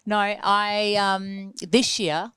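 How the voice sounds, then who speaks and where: A woman's voice: medium pitch, flat delivery, extremely slow, bright, forceful tone, clear, resonant tone, no echo, studio quality. An Australian woman chatting enthusiastically as a singer and actress guest on a radio talk show. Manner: chatty, enthusiastic, lots of fillers. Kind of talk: casual interview chat. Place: radio studio.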